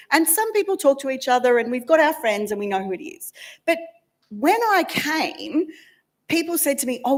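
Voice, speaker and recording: A woman leads a relaxed interview in a church. Her voice sits fairly high and swoops with lively intonation, and she chats with an easy, teasing warmth. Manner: chatty, lively, laughs easily. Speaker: minister leading worship.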